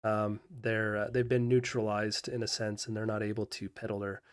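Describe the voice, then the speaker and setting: A man hosting a history video, giving unscripted explanatory commentary in a measured way with pauses mid-thought. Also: fairly low pitch, flat delivery, smooth.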